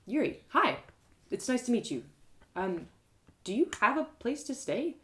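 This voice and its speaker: A woman's voice: a little high, sing-song, unhurried, a bit bright, voice pushed up. Woman playing a spirited, outspoken young girl. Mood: friendly. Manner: earnest, questioning, outspoken. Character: spirited, kind young girl, indignant at injustice.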